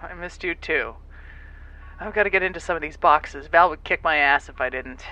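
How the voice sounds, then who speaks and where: A woman's voice: low voice, moderate pace, pushed and bright, rough recording. A woman playing a brusque, sassy, capable rescuer in a sci-fi audio drama, blunt and sarcastic in her delivery. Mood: dry, fond.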